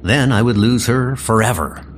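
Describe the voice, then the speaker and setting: A man's voice: medium pitch, moderate pace, a little hiss or hum, natural voice. A man playing a frail, lame doctor in a comic audio drama, earnest and self-doubting. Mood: wistful.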